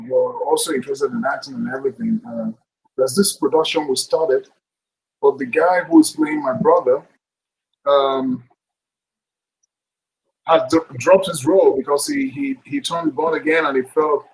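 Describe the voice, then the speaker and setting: A middle-aged man, a guest actor chatting casually on a video call, relaxed and jokey. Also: fairly high pitch, poor audio. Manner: relaxed, laughs often, jokey. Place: indoors, room with curtains. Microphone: webcam mic on video call.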